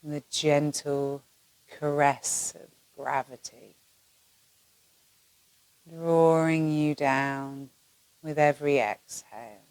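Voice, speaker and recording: A woman teaching yoga nidra, leading a guided relaxation in a soothing, gentle manner with long pauses between phrases. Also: deep voice, steady tone, dry recording, low-quality recording.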